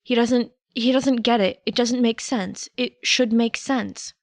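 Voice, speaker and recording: A woman's voice: on the high side, fairly even intonation, unhurried, dry recording. A woman reading a story aloud as a scripted audiobook narrator, close to the microphone. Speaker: podfic narrator. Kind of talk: scripted narration of fan fiction.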